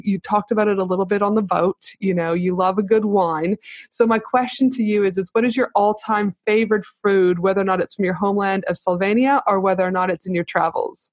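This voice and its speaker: A woman's voice: mid-range voice, dry recording. Bubbly enthusiastic woman podcast host. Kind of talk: podcast interview and ad read. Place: podcast recording.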